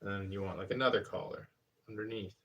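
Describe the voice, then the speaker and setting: A man's voice: fairly low pitch, steady tone, fast, a bit bright. A man in his thirties chatting casually on a live stream while working at a pottery wheel, rambling and hesitant as he explains each hands-on step. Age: 30s. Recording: voice picked up from a distance.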